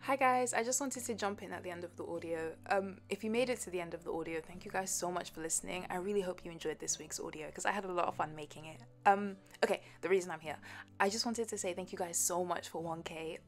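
A woman's voice: on the low side, fast talker. A young woman speaking casually and sincerely to her listeners as an audio creator. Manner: sincere, informal.

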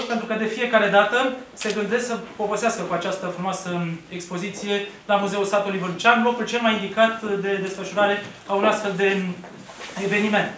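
A man's voice: sits high, fairly bright tone, a little hiss or hum. A man in his thirties making a formal speech on behalf of a museum. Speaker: museum representative. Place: museum exhibition hall. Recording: echoey hall, distant mic.

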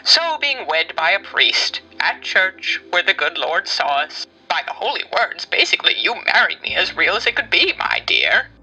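A man's voice: sits high, very animated, normal speed, few pauses, pushed and bright, husky, faint music behind, exaggerated voice, low-quality recording. A man voicing a sinister, suave male demon who talks in a theatrical, mocking way with honeyed menace. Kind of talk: fan comic dub.

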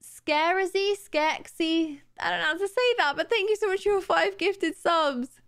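A young woman streaming from her room late at night, tired and a little raspy, chatting casually and thanking viewers on a close studio mic. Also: very high-pitched, normal speed, fairly bright tone. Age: young adult. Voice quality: slightly raspy today. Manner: chatty, bubbly, laughs easily. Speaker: live streamer. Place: home streaming room, indoors. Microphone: boom studio mic close to mouth.

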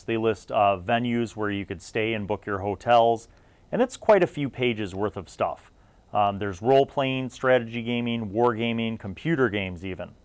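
A middle-aged man presents a retrospective review straight to viewers. His voice is mid-pitched and recorded close and dry. He talks conversationally, leaning on key phrases and letting asides trail off. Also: no room sound. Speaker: video series host.

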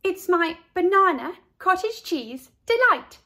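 A woman puts on a cheerful little schoolgirl from a picture book, high in pitch and very sing-song. She is proud and unbothered, and leans slowly on her important words. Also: sing-song, bright, forceful tone, full character voice. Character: cheerful proud little girl who likes being different.

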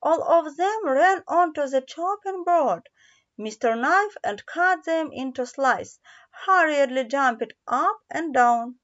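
A woman storyteller reads a children's tale carefully and a little haltingly. Her voice is high and lively, with a melodic rise and fall. The recording is dull and lo-fi. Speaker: storyteller, audiobook narrator. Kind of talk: scripted children's story narration.

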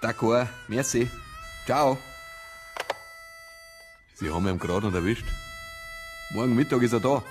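An actor playing a dry, persistent police detective with a Bavarian accent and a colloquial, matter-of-fact manner. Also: male voice, mid-range voice, measured pace, long pauses, soft background music, lightly acted. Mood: businesslike, wry.

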